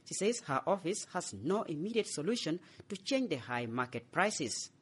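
A man's voice: a little high, very animated, breathy edge. A man filing a scripted radio news report as correspondent. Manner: measured reporting.

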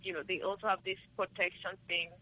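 A woman's voice: on the low side, little pitch movement, fast talker, husky. A woman calling into a talk show, speaking candidly and emphatically. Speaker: caller. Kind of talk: casual phone-in chat. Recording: muffled phone line.

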